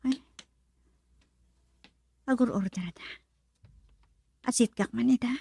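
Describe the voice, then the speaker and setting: An actress in a radio drama playing a polite young bar waitress serving customers. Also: female voice, fairly high pitch, takes it slowly, creaky, dark tone. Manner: polite, deferential service talk.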